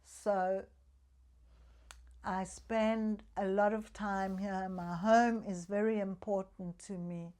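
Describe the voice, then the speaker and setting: A woman's voice: mid-range voice, fairly even intonation, slow, a little mellow. An older woman from Africa, chatting candidly in a personal vlog about living on her pension. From South Africa. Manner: chatty and candid, talks frankly about money. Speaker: retiree vlogger.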